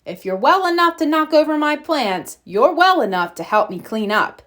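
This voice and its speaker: A woman voicing a caring, weary mother bear in a children's storybook, patient and gently firm. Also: high voice, very animated, normal speed, pitched above the performer's own voice.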